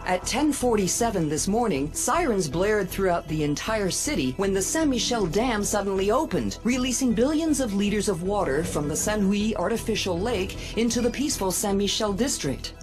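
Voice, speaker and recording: A woman's voice: mid-range voice, animated, normal speed, few pauses, lightly acted. A woman playing a composed TV news anchor in a video game, delivering a formal, scripted bulletin. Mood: formal, grave. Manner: formal, factual newsreader delivery. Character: composed female TV news anchor in a futuristic city. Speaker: news reporter. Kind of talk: scripted broadcast news report. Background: prominent music.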